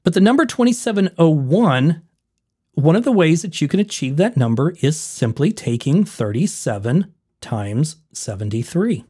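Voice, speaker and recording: Man, a bit high-pitched, slightly hoarse, casual explainer, rambling, self-correcting. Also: darkish tone. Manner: rambling, self-correcting, addresses viewers as folks. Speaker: video host.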